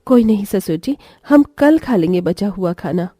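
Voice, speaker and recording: A woman playing a gentle, dutiful village mother and daughter-in-law who advises patiently in a story. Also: medium pitch, animated, normal speed, no echo, studio quality, close to an everyday voice. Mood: reassuring.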